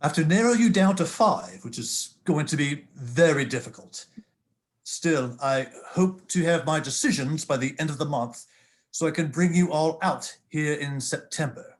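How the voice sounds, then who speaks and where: A man's voice: on the high side, normal speed, natural voice. A man playing a courteous, businesslike contest judge in an audio drama. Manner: polite, businesslike.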